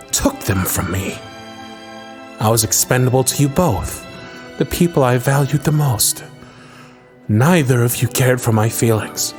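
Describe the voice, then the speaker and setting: A man's voice: medium pitch, darkish tone. A man playing a bitter, scornful younger prince in a fantasy audio drama, brooding and theatrical, full of contempt.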